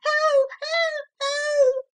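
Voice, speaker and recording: A woman voicing a frightened small boy who shrieks and sobs in a shrill, high wail. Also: level tone, extremely slow, full character voice. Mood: terrified.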